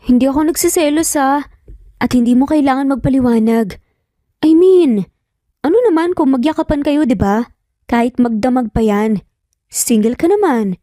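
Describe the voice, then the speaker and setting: A woman's voice: high voice, moderate pace, pauses often, dark tone, no room sound, studio quality, lightly acted. A woman voicing a proud, stubborn, defensive young working mother in an audio romance. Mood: defensive, irritated.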